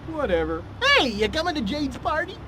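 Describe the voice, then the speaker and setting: A man voicing a nervous but mellow, gossipy hippie stoner informant in a video game, with a slangy drawl. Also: very high voice, big pitch swings, moderate pace, background noise. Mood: conspiratorial. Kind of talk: video game cutscene voice acting.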